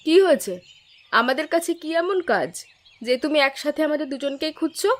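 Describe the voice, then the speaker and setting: A woman's voice: high-pitched, lively intonation, fairly quick. A woman voicing a defiant, short-tempered village wife in a cartoon, blunt and challenging. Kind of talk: cartoon voice acting. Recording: music behind the voice.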